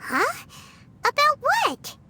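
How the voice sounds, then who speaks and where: A woman voicing a tiny, excitable, bossy childlike fairy companion in a video game, squeaky and exclamatory. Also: drawn-out words, exaggerated voice. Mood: puzzled. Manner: exclamatory, talks of herself in third person. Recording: prominent music behind the voice.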